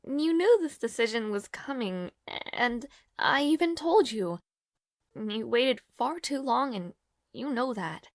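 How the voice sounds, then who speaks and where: Woman to play caring young maid for fantasy audio roleplay; higher register, unhurried, formal yet hesitant and apologetic delivery. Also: lively intonation, dry recording, studio quality. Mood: earnest, gently chiding. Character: devoted young maid to a noble lord, nervous and caring. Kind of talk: scripted audio roleplay, intimate fantasy drama.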